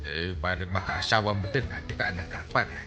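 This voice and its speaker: An actor with an Ilocano accent playing an excitable, teasing village man in a comedy radio drama, exclaiming often. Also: deep voice, fairly quick, highs cut off, slight background noise. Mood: exasperated. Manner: exclamatory, bursts of cackling laughter. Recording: music bed behind the voice.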